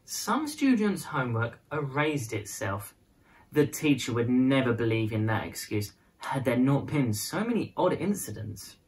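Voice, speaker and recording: A woman reading a children's picture book aloud as a storyteller, with expressive, dramatized phrasing. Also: extremely low pitch, a little slow. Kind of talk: scripted storybook narration in prose and verse.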